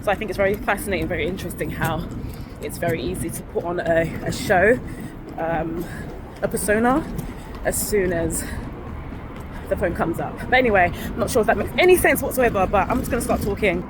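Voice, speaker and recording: Woman vlogger, candid rambling talk, phone mic outdoors, clear street noise. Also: fairly low pitch, a little fast. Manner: rambling, candid, self-correcting with small laughs. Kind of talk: casual vlog chat to a phone while walking. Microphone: phone held up to her face. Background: traffic and street ambience.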